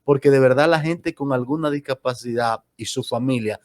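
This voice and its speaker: A man with a Dominican accent, raised in the countryside, co-hosting a radio talk show and asking questions with animated, stumbling starts. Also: mid-range voice, brisk, no echo, studio quality. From Dominican Republic countryside.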